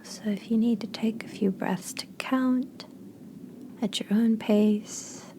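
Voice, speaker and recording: A woman leading a guided meditation in a soft, breathy voice with gentle, unhurried, spaced phrases. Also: on the high side, fairly even intonation, whispered.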